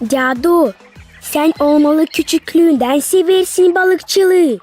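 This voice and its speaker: A woman's voice: extremely high pitch, takes it slowly, darkish tone, soft background music. A woman voicing a curious young girl in a cartoon, full of questions.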